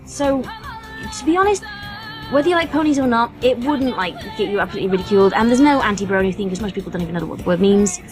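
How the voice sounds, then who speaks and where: British woman, thirties, expressive intonation, articulate, casual interview talk, light music behind. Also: a little high, quick pace. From United Kingdom. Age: 30s. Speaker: guest, voice actress and high school teacher.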